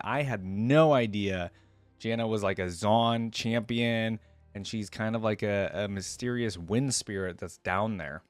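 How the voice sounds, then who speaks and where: A man's voice: mid-range voice, no echo. A man hosting a reaction video, moving between casual, curious commentary and reading lore aloud in a conversational way.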